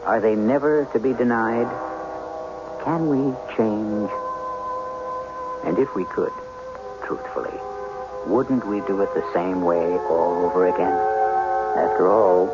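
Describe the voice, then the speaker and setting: Low-voiced male radio host over music. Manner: portentous, deliberate, rhetorical. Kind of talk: theatrical scripted radio drama narration. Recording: dull old radio recording.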